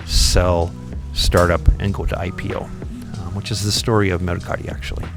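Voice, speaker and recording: A man speaking in a very low voice with a gravelly edge, talking through his ideas and pausing on ums as he goes. Clear music plays beneath him for the whole stretch. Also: level tone. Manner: thoughtful, many ums and restarts. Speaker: podcast guest, company founder. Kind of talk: relaxed interview conversation.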